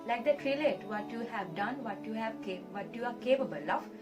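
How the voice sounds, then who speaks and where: A woman's voice: a little high, brisk. A woman from Sri Lanka, giving earnest advice as an interview guest and repeating her key points. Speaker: scholarship recipient guest. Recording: distant mic with loud background music.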